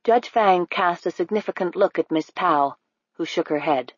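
Low-voiced woman reading a novel, steady and calm, dry muffled sound. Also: normal speed, no room sound. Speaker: audiobook narrator. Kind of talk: scripted narration.

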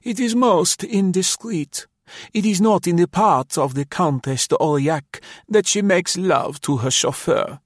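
A man voicing an elderly woman companion who speaks in a slow, reproving way. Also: sits high, no room sound, clean studio sound, voice pushed up. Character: fussy elderly female companion, disapproving.

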